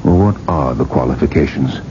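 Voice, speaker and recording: An actor playing a vain, imperious rich industrialist in a radio drama, insistent and self-important. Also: male voice, very deep voice, fairly quick, a little mellow, slight background noise. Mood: demanding, incredulous. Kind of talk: 1980s radio drama acting and narration. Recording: muffled old radio recording with music.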